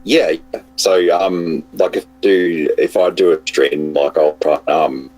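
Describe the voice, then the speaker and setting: A man with a cold and a hoarse voice, chatting casually as a guest on an online call, hesitant with many fillers and restarts. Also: low voice, steady tone, slow, darkish tone, rough recording.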